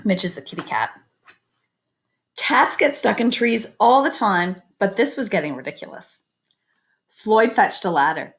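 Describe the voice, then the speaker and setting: A woman reading a children's picture book aloud expressively, with chatty asides to her young listeners. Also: mid-range voice. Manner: expressive, pauses to comment and invite predictions. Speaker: read-aloud storyteller.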